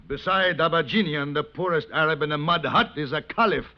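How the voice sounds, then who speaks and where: A man's voice: a little high, normal speed, crowd noise, lightly acted. A man playing a suave, wry, cultured gunman for hire, eloquent and theatrical, in a vintage radio western. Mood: mock outrage. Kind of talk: 1950s radio western acting. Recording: muffled vintage radio recording.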